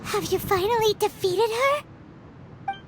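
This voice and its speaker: A woman voicing a tiny, childlike, excitable fairy companion in a video game, with squeaky, earnest, fretful chatter. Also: slow, noisy background. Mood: bewildered. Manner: speaks of herself in third person, fretful chatter. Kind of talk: cartoonish video game acting. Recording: loud music behind the voice.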